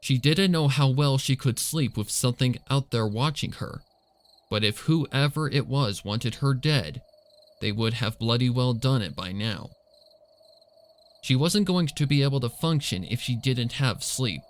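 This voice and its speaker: A man reading a suspenseful story as narrator, in a scripted dramatic storytelling style. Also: medium pitch. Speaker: fan fiction reader and narrator.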